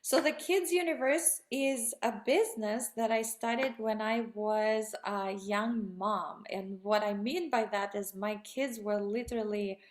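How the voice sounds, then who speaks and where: A woman's voice: mid-range voice, a little slow, few pauses. Chatty woman from Russia, rambling answers. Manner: rambling, self-correcting, enthusiastic. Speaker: guest. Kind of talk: conversational interview.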